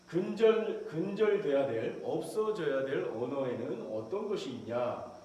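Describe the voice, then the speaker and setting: A man's voice: medium pitch, darkish tone. A middle-aged man, a professor, explaining a point in a recorded lecture and acting out short quotes from soldiers now and then. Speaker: professor lecturing on military leadership. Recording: very echoey room, faint background noise.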